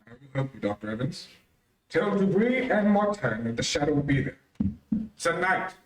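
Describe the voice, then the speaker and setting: An actor playing a grave, mysterious vigilante in 1930s radio drama style, speaking in a measured, commanding, formal way. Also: male voice, fairly high pitch, very animated. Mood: grave, resolute. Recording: roomy, distant-miked stage recording.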